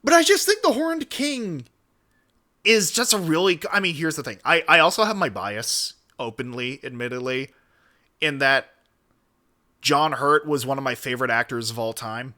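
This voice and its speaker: A man's voice: mid-range voice, moderate pace, bright, forceful tone. A man giving casual, opinionated commentary as a streamer, talking emphatically and wandering into digressions. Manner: emphatic, digressive, frequent swearing. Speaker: streamer reacting to a video.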